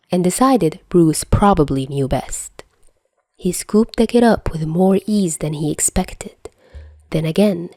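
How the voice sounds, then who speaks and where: A woman's voice: lowish voice, mellow, no echo. A woman reading fan fiction aloud, telling the story expressively into a close home mic. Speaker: podfic reader.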